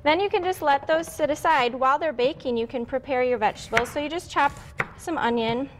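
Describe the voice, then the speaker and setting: High-voiced young woman explaining a recipe. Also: a little fast, noisy background. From Wisconsin. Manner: friendly, explains step by step. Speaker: guest home cook. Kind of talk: casual cooking demonstration. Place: TV studio kitchen set.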